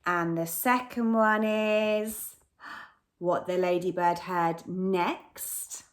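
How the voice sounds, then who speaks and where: A woman's voice: lowish voice, extremely slow. A woman teacher reading a picture book aloud to young children, gasping and asking them questions as she goes. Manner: animated, gasps and asks the children questions. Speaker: primary school teacher.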